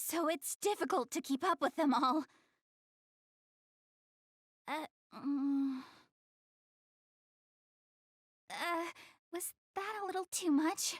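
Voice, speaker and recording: A girlish anime game goddess with a high, lively voice. She is prim and proud, yet shy and quick to get flustered, stammering as she backtracks. The sound is close and dry. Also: no room sound. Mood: nervous, earnest. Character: young goddess girl, proud but easily flustered, tidy, shy. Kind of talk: anime game English dub.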